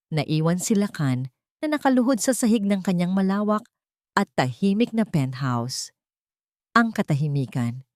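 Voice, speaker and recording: A woman narrating a sentimental story in a scripted, dramatic style with emotive, deliberate phrasing. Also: fairly low pitch, darkish tone, no echo, studio quality.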